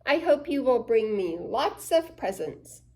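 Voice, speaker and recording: Woman reader as earnest storybook boy: sincere, gentle, fairly high. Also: sing-song, unhurried. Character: earnest believing small boy.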